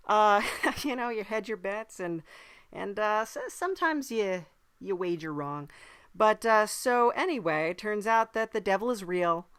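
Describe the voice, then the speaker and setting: A woman playing a wry, deadpan podcast host who lives in Hell, telling improvised stories in a halting, hesitant way. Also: mid-range voice, clear, resonant tone, no room sound, clean studio sound. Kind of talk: improvised in-character comedy storytelling.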